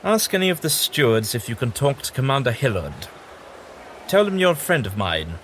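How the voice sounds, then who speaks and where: A man's voice: medium pitch, big pitch swings, moderate pace, fairly bright tone. A man playing a kindly, bookish, eccentric time traveller with an amiable, slightly absent-minded manner in an audio drama. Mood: teasing. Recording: audible background noise.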